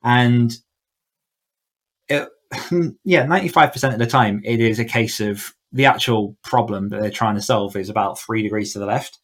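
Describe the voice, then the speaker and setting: A man's voice: fairly low pitch, normal speed. A man speaking as an author coach in a conversational podcast interview, explaining informally with plenty of "like" and "you know". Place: indoors, in a room at home, online call.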